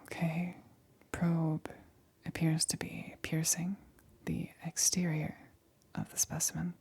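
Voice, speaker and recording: A woman playing a nervous, methodical lab assistant in a whispered ASMR roleplay, speaking haltingly in a breathy whisper. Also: low-pitched, little pitch movement, darkish tone, full character voice. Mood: cautious, clinical. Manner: hesitant, halting, repeats reassurances.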